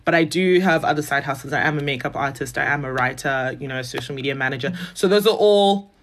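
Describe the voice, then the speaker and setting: A woman's voice: extremely low pitch, fast talker, a little hiss or hum. A woman in her twenties, chatting casually as an interview guest and laughing often. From South Africa. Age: 20s. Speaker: guest, beauty content creator.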